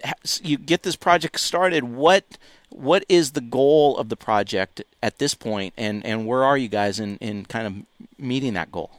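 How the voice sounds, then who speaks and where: A man's voice: mid-range voice, normal speed, no room sound. A man hosting a talk radio show, speaking enthusiastically and rambling, with many restarts. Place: radio studio.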